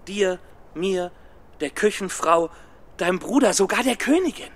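An actor playing a timid, earnest, hesitant young scholar in a children's radio play. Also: male voice, high-pitched, animated, a little slow.

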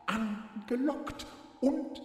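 A woman's voice: on the high side, slight background noise. An actress playing a cryptic female guide who chants riddles in a repetitive, incantatory radio-play style. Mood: incantatory, welcoming. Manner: repetitive, incantatory, deliberate wordplay. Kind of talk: experimental radio play, rhythmic chanted speech. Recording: reverberant hall with music. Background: music.

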